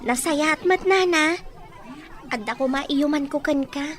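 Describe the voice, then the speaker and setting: A woman's voice: high-pitched, a little slow. An actress with a Filipino accent playing a hesitant, easily startled young woman in an audio drama. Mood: hesitant, uncertain. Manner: hesitant, questioning. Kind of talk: Ilocano audio drama acting.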